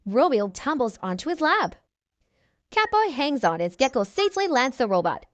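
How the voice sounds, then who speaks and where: A woman reading a children's storybook aloud in animated narration. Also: high-pitched, moderate pace, no echo.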